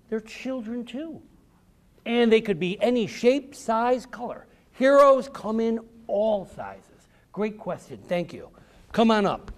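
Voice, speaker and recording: Animated, high-pitched American man addressing children. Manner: theatrical, encouraging, simple emphatic phrasing. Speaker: author and speaker. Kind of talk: storytelling talk to children. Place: public library event before children.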